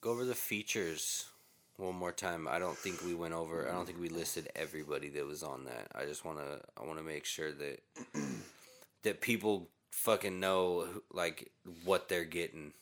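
Deep-voiced thirties male host, loose rambling delivery. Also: normal speed, fairly bright tone. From Oregon. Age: 30s. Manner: rambling, hesitant, profane. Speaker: podcast host. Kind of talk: casual podcast chat. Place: home recording studio.

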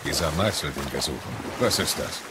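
An actor playing a stern, gruff warrior father in an audio play, speaking in terse, commanding phrases. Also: a bass voice, expressive, brisk, heavy background noise. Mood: gruff, impatient. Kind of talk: dramatised audio play acting. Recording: loud water and ambience behind voice.

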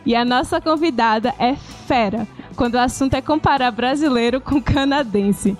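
High-voiced, joking Brazilian podcast host. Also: female voice, moderate pace, soft background music. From Salvador, Bahia, Brazil. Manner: lively, joking. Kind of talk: casual podcast chat.

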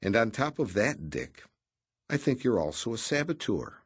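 A man's voice: medium pitch, very animated, normal speed, breathy edge, no echo, voice pushed up. A man voicing a small, elegant scientist who speaks in a dry, sardonic and polite way.

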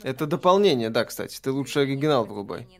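A man's voice: mid-range voice, animated, quick pace, vocal fry, darkish tone. A man co-hosting a live game stream, making short, casual remarks.

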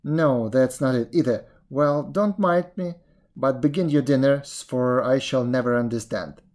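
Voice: medium pitch, measured pace, a little mellow, lightly acted. A storyteller voicing a sly jackal who acts muddled, plaintive and scatterbrained in an animal folktale. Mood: flustered. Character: sly jackal feigning muddled, whimpering confusion.

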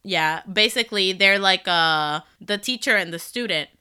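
A woman with a Dominican accent co-hosting a casual chat about K-pop, talking excitedly with lots of fillers. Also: medium pitch, normal speed, clear, resonant tone. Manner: excited, rambling with many fillers. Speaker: podcast co-host.

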